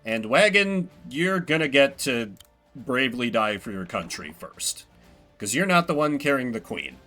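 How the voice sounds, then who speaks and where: A man's voice: a little high, sharp tone. A man giving casual, wry and playful gameplay commentary as a streamer, swearing now and then. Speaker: video game streamer.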